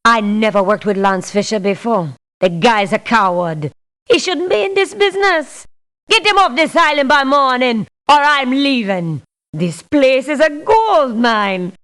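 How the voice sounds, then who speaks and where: A tough female gun for hire in a strategy game. Her voice is smooth and resonant, and she delivers blunt, firm lines at an unhurried, measured pace. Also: on the high side, fairly bright tone, full character voice. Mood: indignant. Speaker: mercenary character in a strategy game. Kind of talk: video game voice clips, scripted refusals and barks.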